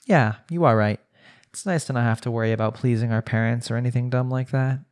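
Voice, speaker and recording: A man's voice: mid-range voice, fairly even intonation, fast talker, natural voice. A man voicing a young newlywed husband in an arranged marriage, speaking conversationally in an intimate audio roleplay. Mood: casual, teasing.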